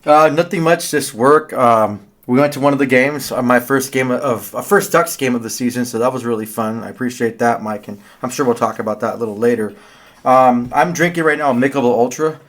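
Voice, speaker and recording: A man's voice: medium pitch, fairly quick. A man co-hosting a sports podcast with a stuffy nose from a cold, chatting casually with many fillers. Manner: relaxed, hesitant with fillers. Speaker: podcast co-host.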